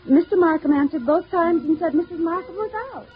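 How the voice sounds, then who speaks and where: A woman's voice: very high-pitched, brisk. An actress playing a prim bridge club lady giving a statement in a 1950s radio drama. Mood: prim, reporting. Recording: muffled old radio recording, music behind.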